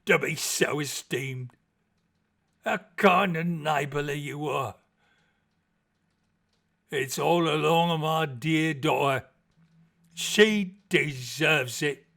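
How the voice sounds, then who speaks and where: A man's voice: fairly high pitch, slow, vocal fry, pauses often, a bit bright, exaggerated voice. A man playing a humble, kindly old working man, effusive and grateful, stammering a little in his fluster.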